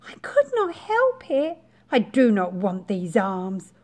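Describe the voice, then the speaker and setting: A woman's voice: mid-range voice, wildly swooping pitch, a little mellow, full character voice. A woman voicing a lovesick, apologetic young male tadpole in a storybook, pleading and drawing out the words. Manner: pleading, drawn-out delivery. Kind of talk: children's storybook read-aloud.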